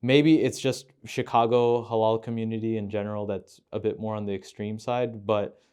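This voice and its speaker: Podcast host, man, lowish smooth voice, thoughtful long questions, casual chat. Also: fairly even intonation, normal speed, a little mellow. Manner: thoughtful, asks long, winding questions with self-corrections. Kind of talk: casual conversational interview.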